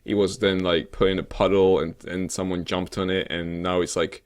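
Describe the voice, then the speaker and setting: A man co-hosting a gaming show in casual, unscripted chat, rambling and halting, with a joking way of talking. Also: sits low, little pitch movement. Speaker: co-host of a weekly gaming news show.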